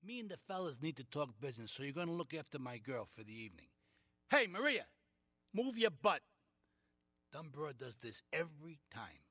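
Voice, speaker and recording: An actor playing an older, expansive and domineering Mafia patriarch in a game cutscene, with an effusive, bossy manner. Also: male voice, fairly high pitch, fairly bright tone. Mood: irritated, bossy. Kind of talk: video game cutscene acting.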